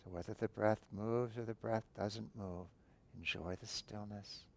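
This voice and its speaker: A man guiding a breathing meditation, giving calm, unhurried instructions with long pauses between phrases. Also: on the low side, vocal fry, slight background noise. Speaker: meditation teacher.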